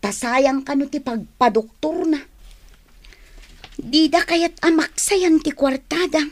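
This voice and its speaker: A woman's voice: sits high, takes it slowly. A woman with a Filipino accent playing a chatty, complaining fruit stall worker in a radio drama. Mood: gossipy, complaining. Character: grumbling, gossipy female fruit stall worker. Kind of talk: Ilocano radio drama acting.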